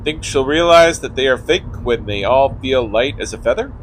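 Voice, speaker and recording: A man's voice: medium pitch, animated, normal speed, slight background noise, natural voice, poor audio. An actor playing a sensible, exasperated young man who complains at his friend in a comedy radio play. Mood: weary, exasperated. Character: exasperated, sensible young male best friend named Stu.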